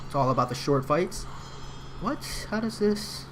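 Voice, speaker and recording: Chatty male streamer, lively pitch. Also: unhurried, background noise. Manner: chatty, answers chat viewers. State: voice getting worn. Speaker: gaming streamer. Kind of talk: casual live commentary while playing.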